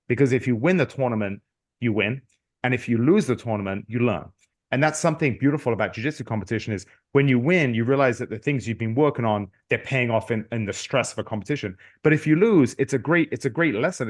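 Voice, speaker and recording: Fast-talking earnest man, middle-aged. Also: mid-range voice. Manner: earnest, lots of restarts and repeated words. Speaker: podcast guest. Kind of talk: casual interview talk.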